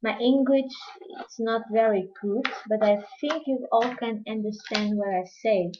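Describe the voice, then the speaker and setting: A woman's voice: on the high side, a little slow, slight background noise. A woman from Portugal with a Portuguese accent, chatting casually with viewers while demonstrating a craft project on a live stream. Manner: chatty, answers viewer questions while working. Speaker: crafting tutorial host. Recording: dull lo-fi livestream audio.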